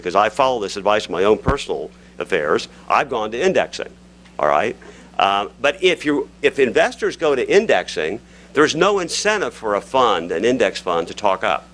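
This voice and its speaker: A man speaking extemporaneously as a conference discussant, laying out pointed questions in a deliberate, lawyerly manner. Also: fairly low pitch, a bit bright, poor audio. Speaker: panel discussant posing questions. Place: live conference session. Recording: noticeable background noise, live event.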